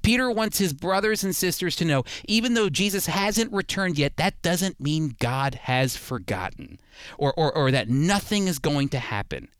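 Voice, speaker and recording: A man's voice: on the high side, animated, normal speed, fairly bright tone, smooth, dry recording, studio quality. A man preaching a sermon, addressing listeners directly and repeating key points for emphasis. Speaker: preacher and Bible teacher.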